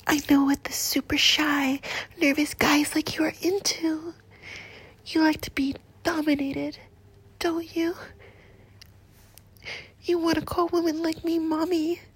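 A woman voicing a doting, flirtatious nurse in a whispered roleplay, with a soft, breathy, slow and coaxing delivery. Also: high-pitched, flat delivery. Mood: whispery, doting. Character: doting, flirtatious female nurse, motherly and teasing.

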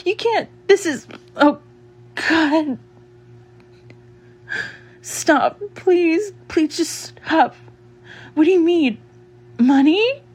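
An actress performs a mouthy woman shop worker, cornered in an audio drama. Her voice sits very high for a woman. She speaks slowly in halting, broken phrases, swinging between bravado and fear. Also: expressive, darkish tone, full character voice. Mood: alarmed, pleading. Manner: halting, stammering, repetitive pleading. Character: mouthy defiant woman shop worker turned frightened, pleading captive. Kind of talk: solo dramatic audio roleplay.

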